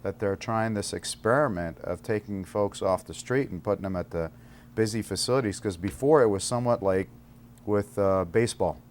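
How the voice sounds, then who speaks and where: Low-voiced man interviewed over background noise. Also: moderate pace. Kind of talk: interview answers. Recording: noticeable ambient background noise.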